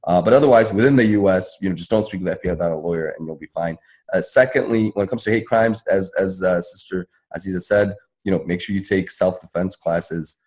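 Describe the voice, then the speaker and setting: Male activist panelist, low and husky, rapid, emphatic, unscripted advocacy delivery. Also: darkish tone, poor audio. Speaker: panelist, civil rights attorney and activist. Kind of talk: spontaneous panel talk, advocacy.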